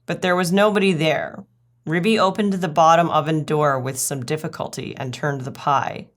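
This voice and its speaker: A woman with a low voice narrates a children's story. She reads the scripted tale aloud as a storyteller. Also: fairly even intonation, moderate pace.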